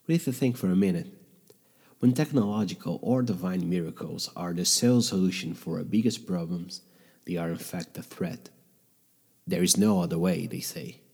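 A low-voiced man with a husky edge reads his podcast script in a reflective, measured way. He speaks English as a second language, his first being Portuguese. The recording carries a long, trailing reverb. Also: a little mellow. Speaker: podcast host. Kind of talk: scripted narration. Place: bedroom in a noisy neighborhood.

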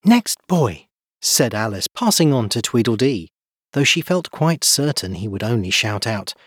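Male storybook reader, slightly rough tone, animated pitch, dry recording. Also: measured pace, no echo. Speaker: volunteer audiobook reader. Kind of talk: expressive storybook narration.

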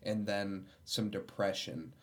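A man hosting a casual comedy podcast, teasing his guest with playful jokes and quick laughs. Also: on the low side, fairly even intonation. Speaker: podcast host.

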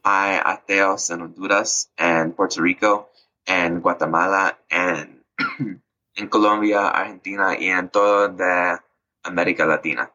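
A man's voice: low voice, steady tone, slow, bright, forceful tone, lo-fi sound. A man from North Carolina co-hosting a podcast, speaking hesitantly and searching for words with frequent fillers. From North Carolina, USA. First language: Spanish. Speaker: podcast co-host. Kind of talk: casual podcast chat.

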